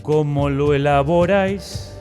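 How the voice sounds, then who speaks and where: A man's voice: fairly high pitch, measured pace. A man with an accent from Spain interviewing a guest playfully as a podcast host. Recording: loud guitar music behind voice.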